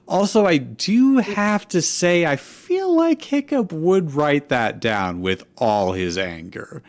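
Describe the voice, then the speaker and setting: A man's voice: fairly high pitch, very animated, slow. A man co-hosting a fanfiction comedy show, mixing casual chat with reading aloud.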